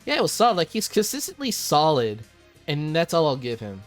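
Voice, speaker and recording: A man's voice: on the high side, wildly swooping pitch, brisk. Casual, opinionated man hosting over music. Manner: opinionated, repeats himself. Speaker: host of a character ranking. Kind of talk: casual chat commentary.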